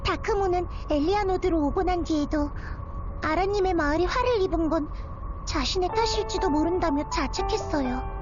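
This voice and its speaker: A woman voicing a gentle, formal young maiden with a wounded heart in a video game, speaking politely and sincerely. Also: very high-pitched, normal speed, noisy background. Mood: pained, resentful. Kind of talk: video game character voice acting. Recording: dull audio under loud music. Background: loud music.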